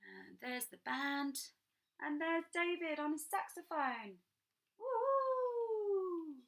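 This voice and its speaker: A woman reading a picture book aloud to children, sing-song and animated, dropping into whispers for little asides. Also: very high voice, slow pace, gravelly. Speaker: children's storyteller.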